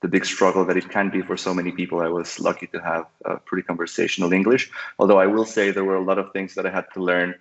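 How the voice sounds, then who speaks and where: Deep flat-voiced man. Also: fast, dull audio. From Costa Rica. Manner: thoughtful, many fillers and restarts. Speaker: guest entrepreneur. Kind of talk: casual interview talk. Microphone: call audio.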